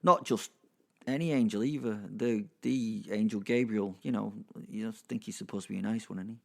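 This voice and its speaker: A man's voice: on the low side, moderate pace, vocal fry, darkish tone. A man narrating a dramatic story in the first person, conversational and wry, with rambling, chatty asides. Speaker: narrator and host.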